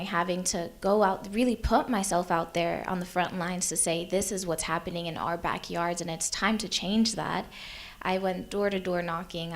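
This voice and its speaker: Earnest eighteen-year-old woman panelist. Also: fairly low pitch. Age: teens. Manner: earnest, personal, with light laughs. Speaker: youth activist panelist. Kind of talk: panel discussion answer. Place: conference hall stage.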